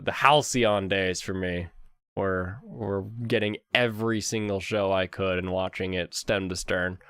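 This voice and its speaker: A man hosting an anime review stream, chatting casually and rambling, with a jokey, animated manner. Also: low voice, smooth, no echo, clean studio sound.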